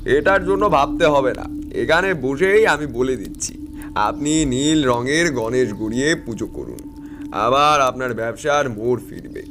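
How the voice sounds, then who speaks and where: An actor playing a shrewd, self-assured tantric fortune-teller, narrating and speaking with knowing authority in an audio drama. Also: male voice, medium pitch, unhurried, background noise, close to an everyday voice. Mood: confident, pronouncing. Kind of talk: dramatised first-person story narration.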